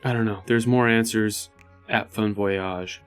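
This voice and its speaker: A man's voice: fairly low pitch, fairly even intonation, unhurried, smooth. A man playing a tabletop game, talking through his character's moves in a casual, conversational way. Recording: music behind. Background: background music.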